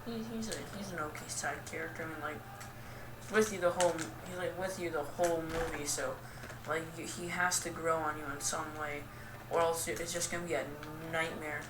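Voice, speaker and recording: A young woman giving casual, rambling game commentary in a self-deprecating, goofy and halting way. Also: deep voice, steady tone. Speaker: gaming video host. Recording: roomy, distant mic with clear noise.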